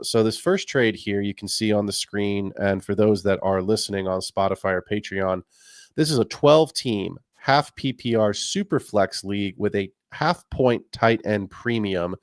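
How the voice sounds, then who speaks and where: Male podcast host, lower pitch, friendly rambling chat, dry close mic. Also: no echo. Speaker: fantasy football podcast host. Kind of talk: casual podcast talk.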